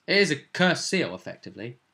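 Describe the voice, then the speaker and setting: Bright-voiced man recapping a game. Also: on the high side, very animated, brisk, vocal fry. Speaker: game master recapping a session. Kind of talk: casual spoken recap of a tabletop role-playing session.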